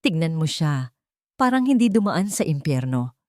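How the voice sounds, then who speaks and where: A woman's voice: sits low, big pitch swings, normal speed, a little mellow, no echo, clean studio sound. A woman voicing a firm, loving, emotional woman in a dramatic audiobook. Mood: tender. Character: firm, loving woman holding back tears. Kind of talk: dramatic audiobook character reading.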